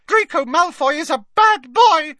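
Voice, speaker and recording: A man voicing a small, excitable, devoted male house elf with a squeaky, earnest voice in an audiobook. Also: unhurried, no echo, full character voice. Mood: angry, distressed. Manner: speaks of himself in the third person, earnest.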